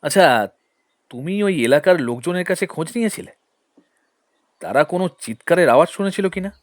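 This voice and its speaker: An actor playing a shrewd, suspicious lawyer-detective in an audio drama, questioning in a measured, deliberate way. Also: male voice, fairly high pitch, expressive, no echo, clean studio sound, natural voice. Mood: pondering.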